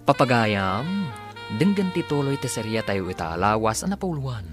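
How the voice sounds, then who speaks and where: A man's voice: mid-range voice, big pitch swings, moderate pace, over music. A man narrating and announcing a radio serial in a dramatic style.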